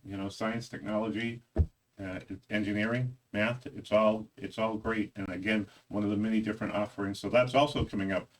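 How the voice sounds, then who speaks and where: Low-voiced man hosting, a bit off-mic. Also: moderate pace, far from the mic, low-quality recording. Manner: relaxed, filler-heavy, stumbling. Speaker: host. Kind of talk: casual interview.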